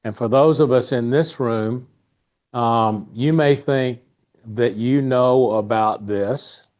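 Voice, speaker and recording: A man hosting a meeting, giving informal announcements and introducing a guest in a halting, easygoing way. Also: medium pitch, a little mellow, dull audio. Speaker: club meeting host. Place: indoor meeting room.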